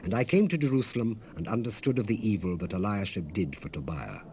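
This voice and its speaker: A man narrating the Bible in a solemn, formal, measured way, letting his phrases fall away at the end. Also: on the low side, animated, darkish tone. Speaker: scripture narrator. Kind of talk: solemn dramatized Bible reading. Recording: hissy 1970s cassette rip.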